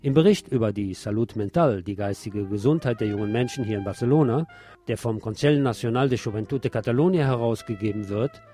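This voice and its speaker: A man's voice: lowish voice, brisk, dark tone. A man presenting on radio, reading scripted news and commentary. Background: music.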